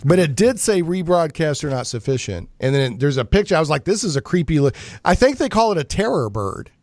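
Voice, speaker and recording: Chatty male radio host, higher-pitched voice. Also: big pitch swings, brisk, a little mellow, no echo, studio quality. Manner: rambling, joking, mock-serious storytelling. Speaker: talk show host. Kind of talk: casual chatty radio banter.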